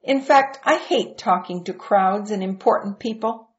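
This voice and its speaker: A woman's voice: medium pitch, unhurried, pitched above the performer's own voice, close to an everyday voice. A woman playing a nervous stammering shepherd. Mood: hesitant. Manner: hesitant, stammering with ums and uhs. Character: timid stammering male shepherd Moses, humble. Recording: dull lo-fi recording.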